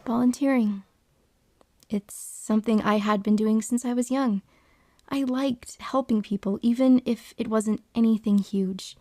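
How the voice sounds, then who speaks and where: Actress doing lonely young volunteer girl, highish voice, earnest n halting, audio drama. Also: steady tone, no echo, studio quality. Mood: reflective, wistful. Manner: soft-hearted, hesitant, halting. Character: lonely, earnest young woman hospital volunteer narrating her diary. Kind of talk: first-person audio drama narration and dialogue.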